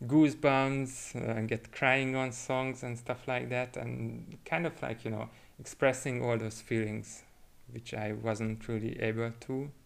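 A man from Hungary with a Hungarian accent, chatting reflectively as a podcast guest and thinking aloud in a halting way. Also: medium pitch, little pitch movement, creaky. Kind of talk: casual reflective chat.

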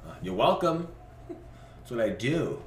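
A man's voice: mid-range voice, big pitch swings, slow pace. Brash profane man in a roomy room. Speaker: podcast host. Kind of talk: casual chat. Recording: roomy, distant mic with faint noise.

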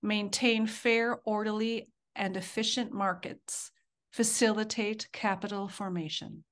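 A woman co-hosting a casual podcast, friendly and quick to laugh, often trailing off softly. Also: mid-range voice, fairly even intonation. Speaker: co-host.

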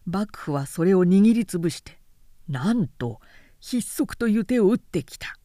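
Woman voicing an insistent, indignant samurai man, pitched low. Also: no echo, studio quality. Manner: forceful, insistent, formal rhetorical questioning. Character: outspoken, indignant samurai man pressing hard accusations. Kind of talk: audiobook period-novel dialogue.

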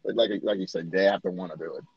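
A man's voice: deep voice, steady tone, fast, vocal fry, husky, no room sound, lo-fi sound. A man chatting casually with a friend as podcast co-host, joking, boastful and swearing freely. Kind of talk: casual chat between friends. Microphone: remote call mic.

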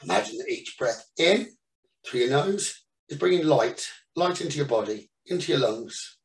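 A man leading a guided meditation as the teacher, giving soothing instructions in short phrases with pauses between them. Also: fairly high pitch, lively intonation, gravelly. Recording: roomy echo, distant from the mic.

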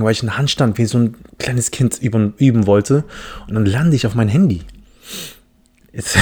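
A man from the Philippines talks alone on his podcast in a rambling, self-mocking way, often restarting his sentences. His voice sits a little low and sounds mellow and warm, not sharp. He keeps to relaxed, casual storytelling. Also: husky. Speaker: podcast host. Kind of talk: casual solo podcast monologue.

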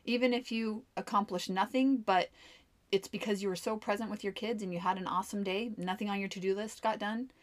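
A woman's voice: mid-range voice, steady tone, fairly quick. A woman co-hosting a podcast, a mom giving upbeat, encouraging advice in casual chat. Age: adult mom. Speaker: podcast co-host.

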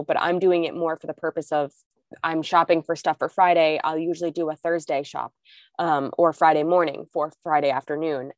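A woman's voice: low-pitched, no echo, clean studio sound. Woman with a stuffy cold, chatting. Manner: chatty, asks follow-up questions. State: nasal from a cold. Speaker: podcast co-host. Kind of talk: casual chat.

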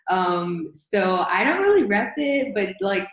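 Brief: woman interviewer, mid pitch, eager, lots of fillers, roomy echo. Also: sing-song, unhurried. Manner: enthusiastic, many "like" fillers. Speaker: interviewer, podcast host. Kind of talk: casual interview talk.

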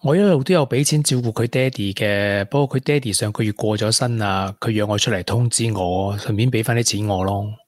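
An actor playing a regretful former tech prodigy in a radio drama, with a Hong Kong accent and earnest delivery. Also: male voice, on the low side, brisk, few pauses, no room sound, clean studio sound, natural voice. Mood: confessional. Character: regretful young ex-tech prodigy, confessing to a woman. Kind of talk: Cantonese radio drama acting.

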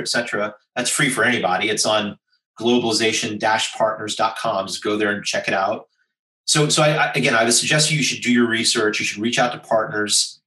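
A man's voice: medium pitch, pushed and bright, far from the mic. An American man giving persuasive business advice in an interview, speaking emphatically in rhetorical lists. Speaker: business executive guest. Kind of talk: interview answer, advisory. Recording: roomy sound, voice from off-mic.

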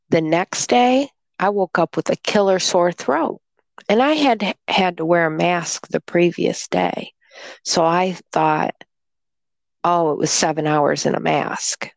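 A woman chatting casually, slowly telling a rambling story about being ill, still sounding congested. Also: on the low side, vocal fry, dry recording. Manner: rambling storyteller, slow and drawn-out. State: congested, recovering from illness. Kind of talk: casual chat.

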